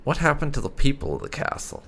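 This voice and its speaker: A man's voice: mid-range voice, huge pitch swings, fast, creaky, fairly bright tone, breathy edge. A man doing casual let's play commentary as a gaming YouTuber, laid-back and musing, with blunt slang.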